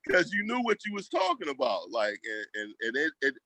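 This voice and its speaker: A man's voice: fairly high pitch, wildly swooping pitch, fairly quick, sharp tone, no echo. A man talking casually as an interview guest, telling stories in slangy, colloquial speech and acting out quoted remarks. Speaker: school program leader, guest. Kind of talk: casual conversational storytelling.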